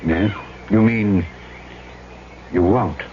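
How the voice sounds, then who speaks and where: A man's voice: low voice, extremely slow, slight background noise. An actor playing a blunt, troubled island trader who narrates and acts out his story in an old-time radio drama. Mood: confrontational. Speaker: narrator and lead character. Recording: muffled radio recording, music behind.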